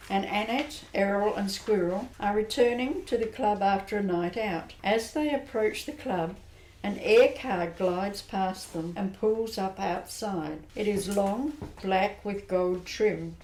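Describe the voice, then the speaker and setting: A woman narrating an amateur radio play in a plain, measured storytelling manner. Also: mid-range voice, darkish tone, slight background noise. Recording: roomy echo.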